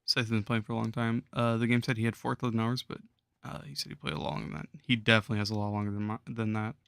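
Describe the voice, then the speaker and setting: A hoarse young man losing his voice, chatting casually with viewers on a gaming livestream and chuckling often. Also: fairly low pitch, fairly even intonation, rapid-fire, a bit bright. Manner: laid-back, chuckles while talking to chat.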